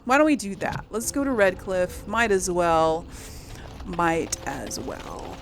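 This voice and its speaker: A woman's voice: fairly low pitch, big pitch swings, takes it slowly. A woman giving casual let's play commentary on a video game, chatting and thinking aloud as she goes. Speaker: video game streamer, host. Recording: faint background game noise.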